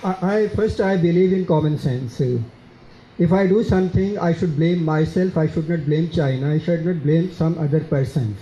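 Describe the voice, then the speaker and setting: A man with a Pakistani accent answers audience questions at a conference, speaking haltingly with many fillers and repeated words. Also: on the high side, dark tone. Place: conference hall. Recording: dull, echoey conference hall.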